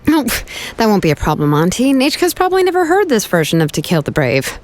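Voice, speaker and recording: A woman's voice: mid-range voice, huge pitch swings, fairly quick. A woman voicing a casual, shrewd young woman in a video game, informal but pointedly reasoning.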